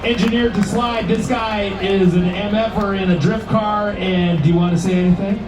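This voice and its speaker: A man working as an awards announcer at an outdoor event, speaking informally and loudly to the public over a PA. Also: high-pitched, fairly even intonation, moderate pace, background noise. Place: outdoor motorcycle show stage. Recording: echoey outdoor PA from far off, music.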